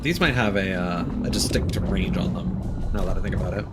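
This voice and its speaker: A man's voice: low voice, a little fast, loud music behind the voice. A man live streaming a game, casually chatting and thinking aloud in a reflective, rambling way. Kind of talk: casual chat with gameplay commentary.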